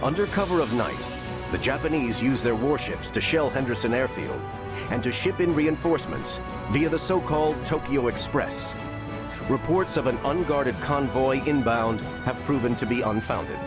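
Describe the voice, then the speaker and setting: A man narrating a World War II game briefing in a formal, measured, emphatic manner. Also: mid-range voice, animated, close to an everyday voice. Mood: grave, formal. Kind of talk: scripted wartime campaign narration. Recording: loud music over the voice.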